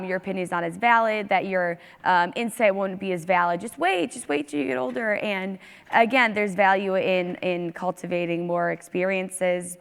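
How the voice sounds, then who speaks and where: A woman speaking on a discussion panel about education, earnest, with a naturally loud voice and many fillers. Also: medium pitch, vocal fry, clear, resonant tone. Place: large hall stage. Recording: long reverb from a large hall.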